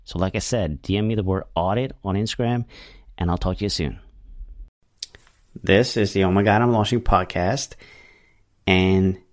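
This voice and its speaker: A man's voice: deep voice, moderate pace, pauses often. A man hosting a podcast in English, which is not his first language, talking casually in a rambling way with many fillers and restarts. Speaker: podcast host. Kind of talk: casual solo monologue.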